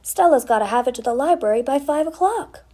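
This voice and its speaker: A woman voicing an excitable, urgent boy in a children's storybook, calling out insistently. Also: sits high, brisk, pitched above the performer's own voice. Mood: urgent shouting.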